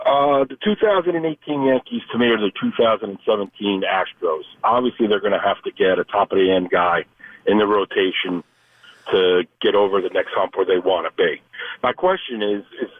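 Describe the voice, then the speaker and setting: Husky man calling in on a tinny phone. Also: lowish voice, a little fast, dry recording. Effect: telephone. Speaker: caller to a sports radio show. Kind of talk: casual sports call-in talk. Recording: tinny, narrow phone line.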